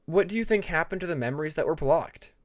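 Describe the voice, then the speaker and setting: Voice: mid-range voice, lively intonation, fast, natural voice. Narrator doing a hesitant, curious young male dragon. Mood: troubled.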